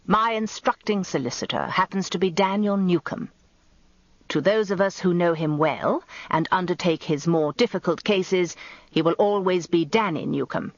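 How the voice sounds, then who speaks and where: An actor playing a pompous, grumbling old barrister in a radio drama, British, with a grandiloquent and plaintive way of talking. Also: male voice, sits high, normal speed, no room sound, muffled recording. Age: older. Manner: grandiloquent, plaintive, self-important.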